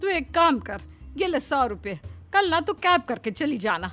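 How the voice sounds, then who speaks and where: A woman's voice: high voice, very animated, a little slow, fairly bright tone, soft background music, exaggerated voice. A woman voicing a doting, humble middle-aged housemaid mother in an affectionate, homely, exclamatory cartoon-story way. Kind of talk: Hindi moral-story cartoon dialogue.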